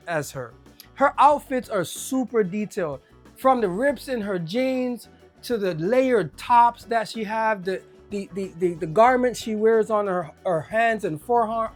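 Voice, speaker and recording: Excitable Jamaican-accented game reviewer. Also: mid-range voice. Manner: enthusiastic, repeats words when excited. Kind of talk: casual video game review.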